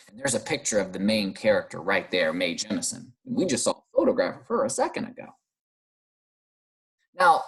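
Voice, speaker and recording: An American man teaching young children in a read-aloud lesson, using simple, encouraging phrases and questions with pauses for answers. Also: lowish voice, breathy edge. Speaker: primary school teacher.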